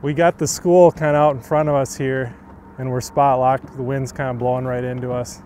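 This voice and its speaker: A man in his thirties, a pro angler, explaining fishing technique in a relaxed, easygoing way from an open boat on a lake. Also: medium pitch, normal speed, slight background noise. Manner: relaxed, easygoing, many fillers. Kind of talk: on-the-water fishing instruction.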